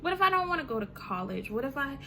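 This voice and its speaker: A woman in her thirties chats to her vlog audience in a loose, rambling way, full of "you know" and "um". Her voice sits on the higher side. A clear hum of background noise runs behind her. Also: very animated, fast talker. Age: 30s. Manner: chatty, many fillers like "you know" and "um". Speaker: vlogger. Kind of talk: casual rant to camera.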